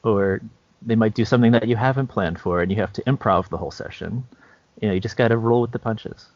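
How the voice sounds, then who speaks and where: A man co-hosting a podcast, explaining things informally and a little haltingly. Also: lowish voice, no room sound. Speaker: podcast co-host. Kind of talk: casual podcast chat. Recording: dry, dull lo-fi recording.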